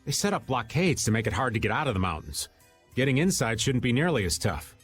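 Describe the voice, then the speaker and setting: A man's voice: medium pitch, sing-song, fast, faint music behind, close to an everyday voice. A man playing an easygoing but wary male adventurer in a video game, with wry, folksy phrasing. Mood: pondering. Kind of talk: video game cutscene voice acting.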